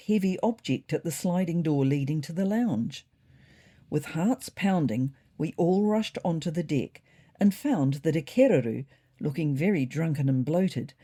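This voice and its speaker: Audiobook-style narrator, mid-pitched and mellow, expressive first-person storytelling. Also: slightly rough.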